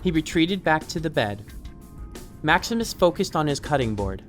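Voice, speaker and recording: A man's voice: fairly high pitch, sing-song, moderate pace, fairly bright tone, soft background music. A man reading scripted fiction as an audiobook narrator.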